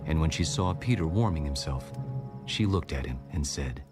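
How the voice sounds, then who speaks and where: A man narrating scripture in a measured, solemn audio Bible style. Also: rumbling low, expressive. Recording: music bed behind narration.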